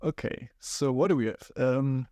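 A man's voice: medium pitch, a little slow, darkish tone, no echo, clean studio sound. A young man with a German accent, chatting as a podcast guest, hesitant and thinking aloud. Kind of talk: casual podcast chat.

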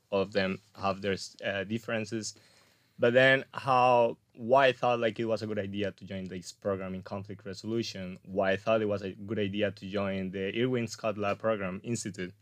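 A young man talks in a lowish voice, pausing often to search for words. His sound is close and dry, with no room around it. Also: dry recording. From Colombia. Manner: searching, with many fillers and uh's. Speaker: graduate student guest. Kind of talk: casual interview answers.

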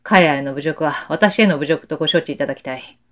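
An actor playing a righteous, dutiful young samurai officer in a Japanese period audio drama, with formal, respectful, archaic speech. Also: male voice, a little high, fast, fairly bright tone, close to an everyday voice. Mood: resolute, impassioned.

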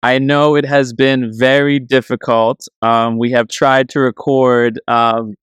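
A man's voice: mid-range voice, level tone, measured pace, a bit bright, clean tone, dry recording. A young man hosting a podcast in an upbeat, casual interview style with a chummy, slangy way of talking. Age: young adult. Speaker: podcast host.

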